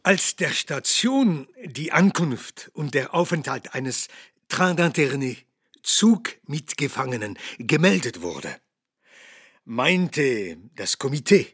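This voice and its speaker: Husky, slow old storytelling doctor. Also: male voice, medium pitch, sing-song, a bit bright, no echo, studio quality, exaggerated voice. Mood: amused, savouring. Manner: deliberate, storytelling. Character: elderly learned Alsatian doctor telling amused anecdotes. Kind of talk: radio drama acting.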